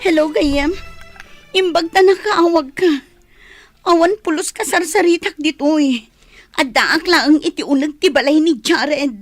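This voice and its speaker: A woman's voice: high voice, a little slow, soft background music. A woman playing an aggrieved young wife in a radio drama. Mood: fed up. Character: aggrieved young wife chafing at a jealous husband.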